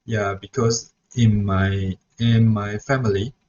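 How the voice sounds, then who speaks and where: Slow, hesitant young man. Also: on the low side, fairly even intonation, a little mellow. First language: Mandarin. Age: young adult. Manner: hesitant, frequent "so yeah" restarts. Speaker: student teacher. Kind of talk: reflection vlog. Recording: dull lo-fi recording.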